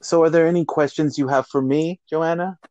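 A man's voice: fairly high pitch, steady tone, a little fast, a little mellow, dry recording, highs cut off. A man hosting a casual interview, upbeat and chatty, asking a singing judge questions and laughing often. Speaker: host of singing competition group.

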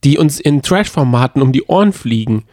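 A man's voice: mid-range voice, lively intonation, brisk, a little mellow, dry recording, clean studio sound. A man co-hosting a reality TV recap show in casual, bantering conversation.

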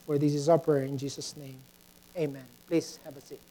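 A woman with a Filipino accent preaching in a halting way, often repeating words, moving between prayer and anecdote. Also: low voice, little pitch movement, dark tone, slight background noise, low-quality recording. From Philippines.

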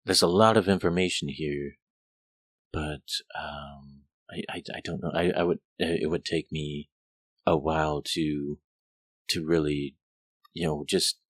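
A man putting on a flustered female scholar, stammering and lost in her studies. She trails off and restarts as she thinks aloud, her voice a touch higher than his own low one. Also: fairly even intonation, a little slow, no echo, clean studio sound. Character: excitable female scholar absorbed in glyphs.

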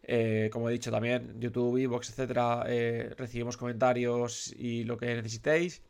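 A man's voice: medium pitch, level tone, moderate pace, vocal fry, a little mellow, clean tone. A man in his thirties hosting a radio show, nasal and congested from a cold, rambling with many fillers and restarts. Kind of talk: casual radio talk.

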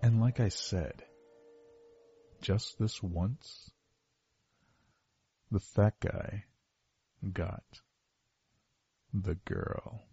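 A man playing a heavyset, obsessive young killer confessing defensively to detectives in a horror audio drama monologue, with a husky voice. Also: lowish voice, drawn-out words, pauses often, dark tone, exaggerated voice. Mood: evasive, smug. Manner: confessional, defensive, self-justifying. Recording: dull lo-fi sound with music behind.

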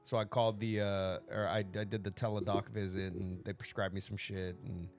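A man's voice: lowish voice, level tone, fairly quick, faint music behind. A man co-hosting a podcast in casual chat, congested from a sinus infection and swearing freely. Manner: profane, laid-back. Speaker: podcast co-host.

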